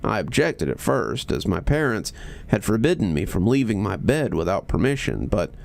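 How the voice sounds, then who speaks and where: Low voiced guy reading a creepy story, gravelly, slow deliberate phrasing with pauses. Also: very animated, a little mellow. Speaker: storyteller reading horror fiction aloud. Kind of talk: scripted horror story narration.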